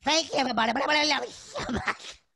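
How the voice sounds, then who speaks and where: A woman's voice: sits high, quick pace, noisy background. A woman voicing a sweet, childlike male puppet chef who talks earnestly and effusively, repeating words with feeling. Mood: grateful. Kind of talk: in-character live chat.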